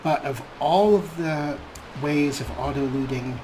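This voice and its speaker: Man doing game commentary over clear noise. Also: on the high side, measured pace. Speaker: let's play host. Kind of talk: casual gameplay commentary.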